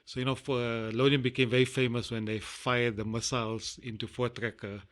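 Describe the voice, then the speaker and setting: Bright-voiced South African man, halting. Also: mid-range voice, little pitch movement. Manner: rambling, halting, many fillers. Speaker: guest. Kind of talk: casual interview chat.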